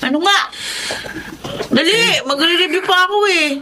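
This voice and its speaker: An actress playing a studious, scolding teenage sister in a radio drama, questioning in a pressing, suspicious manner. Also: female voice, extremely high pitch, normal speed, sharp tone, slight background noise, low-quality recording.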